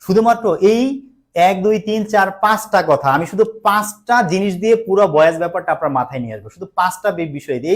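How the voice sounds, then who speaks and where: Man, online grammar tutor, slightly high voice, repeats and quizzes. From Bangladesh. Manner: explains patiently, repeats key points and quizzes students. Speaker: English teacher. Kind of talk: online exam-prep class.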